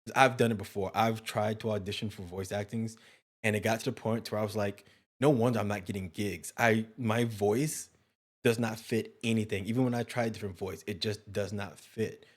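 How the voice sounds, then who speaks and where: Male host, lowish voice, casual and rambling, pokes fun at himself. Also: fairly even intonation, fairly quick. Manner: rambling, self-deprecating questions. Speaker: interview host. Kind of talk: casual interview chat.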